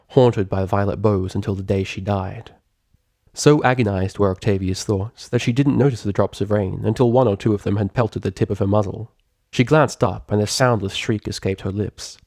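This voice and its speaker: A man reading fiction aloud as a scripted narrator, expressive and articulate in a dramatic storytelling manner. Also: on the low side, normal speed, no room sound, studio quality. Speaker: audiobook reader of fan fiction.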